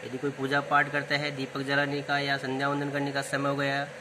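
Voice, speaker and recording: A man's voice: mid-range voice, completely flat delivery, brisk, noisy background. A man teaching a lesson, explaining word meanings step by step and repeating key terms. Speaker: Hindi literature teacher.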